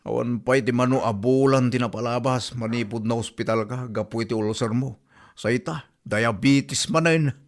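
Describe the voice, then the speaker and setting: A man's voice: medium pitch, normal speed, close to an everyday voice. A man playing a nagging, exasperated village husband with an Ilocano accent in a comedy drama podcast. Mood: grumbling.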